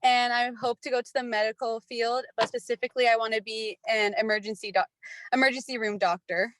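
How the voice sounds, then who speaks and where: Young woman, higher pitch, student ambassador, informal self-introduction. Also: fairly even intonation, fairly quick, a bit bright, no echo. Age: around 20.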